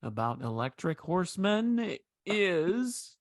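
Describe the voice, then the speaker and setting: A man's voice: high-pitched, sing-song, slow, no echo, studio quality. A man hosting a playful trivia game on a video call, with teasing game-show flourishes.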